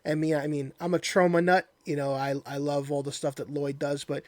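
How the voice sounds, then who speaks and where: A man's voice: on the high side, little pitch movement, brisk, a little mellow, dry recording. A man hosting a casual interview, talking in an agreeable, conversational way. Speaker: podcast host.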